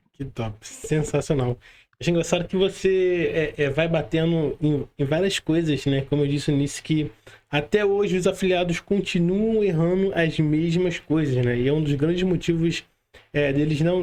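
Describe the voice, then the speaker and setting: A Brazilian man speaks as a podcast host in a loose, casual way. His delivery is halting, with words repeated while he works out each thought. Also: a little high, darkish tone. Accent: Brazilian Portuguese. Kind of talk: casual chat.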